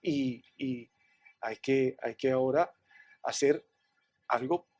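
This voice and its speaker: A man with a Venezuelan accent answering interview questions in an earnest, halting way, often repeating words. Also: medium pitch, a little mellow. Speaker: interview guest, businessman.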